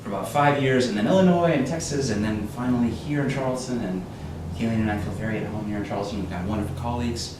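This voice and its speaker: A man's voice: medium pitch, fast talker. An American man, a musician, answering casually as a guest in a live interview. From United States. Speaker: interview guest, pianist and composer. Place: large echoey room. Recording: echoey room, distant mic, clear noise.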